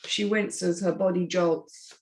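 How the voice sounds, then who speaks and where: Woman, slightly low voice, script narrator and session host, measured reading and chairing. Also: steady tone, darkish tone. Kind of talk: reading screen directions aloud, moderating discussion.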